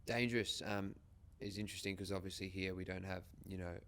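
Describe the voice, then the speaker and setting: A man's voice: low-pitched, steady tone, brisk, a bit bright, a little hiss or hum. A man with an Australian accent, hosting a podcast and interviewing in an informal, casual way. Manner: informal, occasional swearing.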